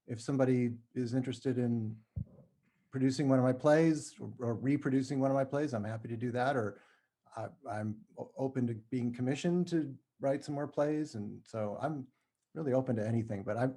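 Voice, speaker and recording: A man speaking informally and modestly as a podcast guest, a playwright chatting about his work in a halting way. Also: medium pitch, mellow. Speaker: podcast guest, playwright and audio drama creator. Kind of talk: casual conversational interview.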